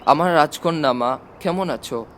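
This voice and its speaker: An affectionate army chief as a man narrator reads him, confident and fatherly in a storybook. The voice is high for a man, explaining and reflecting, with faint noise behind it. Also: normal speed, a bit bright, lightly acted. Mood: fatherly, concerned. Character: army chief uncle, affectionate, confident, older than his listener. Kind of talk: storybook reading.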